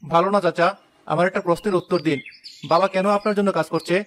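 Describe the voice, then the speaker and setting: A man's voice: high voice, steady tone, quick pace, a little hiss or hum, lightly acted. A man playing a proud, hot-headed young village weaver in a dramatic moral-story cartoon. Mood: troubled.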